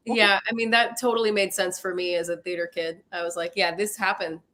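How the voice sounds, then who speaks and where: A woman in her thirties talking casually as a podcast guest, telling stories in an animated way. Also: a little fast. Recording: low rumbly background noise.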